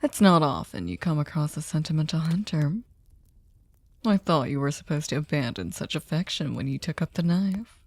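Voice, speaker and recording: A woman performs a possessive, manipulative female vampire for an ASMR roleplay. Her voice is mellow and fairly low, unhurried and close, with a dry sound. She teases with silky courtesy and often sinks into a whisper. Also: sing-song, dry recording, exaggerated voice. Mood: mocking, amused. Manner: slow, teasing, mock-polite, often dropping to a whisper. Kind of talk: ASMR roleplay audio drama.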